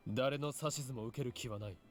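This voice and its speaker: A man's voice: medium pitch, racing, lightly acted. A man voicing a brash young mad scientist. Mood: defiant. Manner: commanding, defiant. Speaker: game character voice. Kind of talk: Japanese game voice acting.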